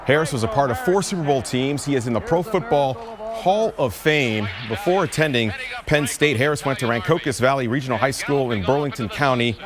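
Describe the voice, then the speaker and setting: Upbeat male sportscaster over a cheering crowd. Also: medium pitch. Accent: American. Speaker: sports and news anchor. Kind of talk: scripted news and sports reading.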